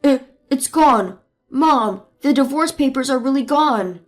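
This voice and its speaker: A woman voicing a bullying, entitled husband who shouts and belittles his wife, with a lowered voice. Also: normal speed, soft background music, close to an everyday voice. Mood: panicked. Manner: yelling, belittling, then pleading. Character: bullying entitled husband, loud mama's boy.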